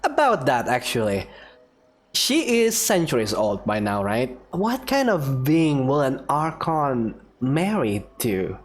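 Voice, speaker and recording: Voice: medium pitch, big pitch swings, slow. A game streamer reading game text aloud expressively, with casual commentary in between. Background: faint music.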